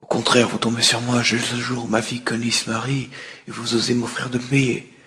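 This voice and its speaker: Male actor as proud mafia boss, gravelly, even-toned, measured, reproachful, controlled, film dub. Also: medium pitch. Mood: reproachful, wounded pride. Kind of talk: dramatic film fan dub.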